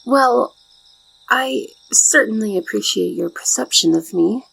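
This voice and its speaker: A shy young maid played by a woman in an ASMR roleplay, devoted and deferential. Her gentle voice is breathy and heavily creaky, with formal, careful wording. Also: mid-range voice, measured pace, full character voice, rough recording. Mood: polite, wistful.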